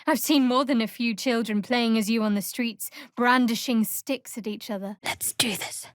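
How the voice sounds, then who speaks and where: A young female cleric of a dark goddess , acted by a woman, speaks in a fairly high voice. She is guarded and wry, with a cool, sardonic edge. It is scripted fantasy game dialogue, recorded very dry with no room sound. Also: fairly even intonation, moderate pace, no echo, lightly acted. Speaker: video game character.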